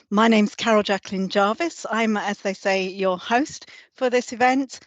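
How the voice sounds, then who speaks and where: A woman hosting a webinar as senior lecturer, her voice slightly gruff from flu, explaining steadily with frequent pauses. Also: mid-range voice, no echo, highs cut off. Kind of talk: presenting a webinar.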